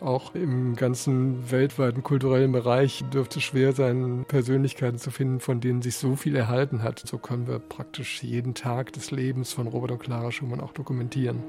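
A middle-aged museum director talks fluently and eagerly about his institution. His voice is mellow and sits at a mid pitch. Faint music runs softly behind him. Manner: fluent, enthusiastic explaining. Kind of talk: interview answers.

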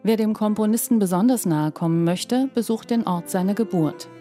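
A woman's voice: medium pitch, moderate pace, warm tone, soft background music. A woman reporter reading scripted narration for a news feature.

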